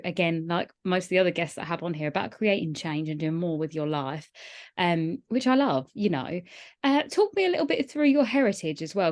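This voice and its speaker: Upbeat woman podcast host, low-pitched. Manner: upbeat and encouraging, lots of fillers. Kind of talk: casual interview chat.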